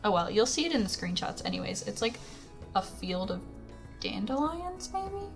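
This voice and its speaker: A hoarse young woman giving a chatty, rambling voice-over while she talks through her build. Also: mid-range voice, very animated, moderate pace. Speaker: Sims speed-build YouTuber. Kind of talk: casual voice-over commentary. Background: background music.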